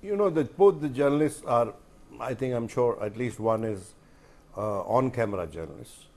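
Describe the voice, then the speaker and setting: A man's voice: medium pitch, animated, normal speed, creaky, a little mellow. A man with an Indian accent giving his opinions as a panel commentator in a TV studio, in an emphatic, conversational way.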